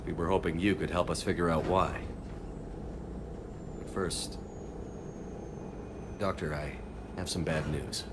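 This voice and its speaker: An actor playing a steady, commanding space-navy commander in a video game, speaking in a direct, firm, measured way. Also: male voice, low voice, noisy background. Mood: grave, gentle. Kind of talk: video game cutscene acting. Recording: loud game music behind the voice.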